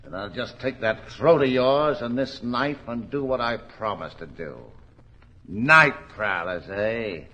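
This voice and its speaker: An actor playing a gruff, menacing, evasive innkeeper in a 1940s radio drama. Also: male voice, medium pitch, animated, takes it slowly. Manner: gruff, threatening, colloquial. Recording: muffled old 1940s radio recording.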